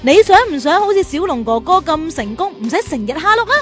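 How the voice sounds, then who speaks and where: A woman playing a friendly, chatty adult guide to children in a radio drama, with an animated storytelling delivery. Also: high voice, fast talker, faint music behind. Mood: encouraging.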